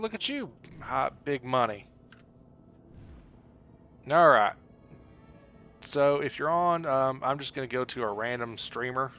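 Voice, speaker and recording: A man's voice: medium pitch, pushed and bright. A man live-streaming a video game, chatting casually with his viewers in a rambling, joking, apologetic way. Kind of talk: casual chat with stream viewers while gaming. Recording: clearly audible background noise.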